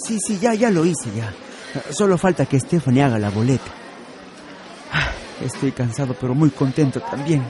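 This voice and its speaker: A man's voice: medium pitch, lively intonation, normal speed, background noise, lightly acted. An actor with a Peruvian accent playing a contented, hardworking middle-aged father who runs a family shop in a radio soap. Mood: tired, pleased. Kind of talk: educational radio soap acting.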